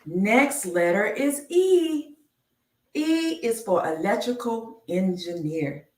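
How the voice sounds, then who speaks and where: A woman reading a children's picture book aloud on a live stream, enunciating deliberately like a teacher. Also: mid-range voice, a little mellow. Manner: slow, deliberate enunciation with teacherly announcements of each letter. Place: indoor room, live stream. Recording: roomy, echoey, distant microphone.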